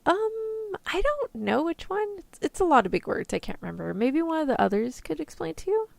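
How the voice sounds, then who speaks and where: A woman's voice: extremely high pitch, very animated, moderate pace, gravelly, no echo, voice pushed up, full character voice. A woman playing a tough, protective, easygoing rescuer in an ASMR roleplay, talking in casual slang. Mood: breezy, reassuring. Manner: casual slangy reassurance, turning threatening.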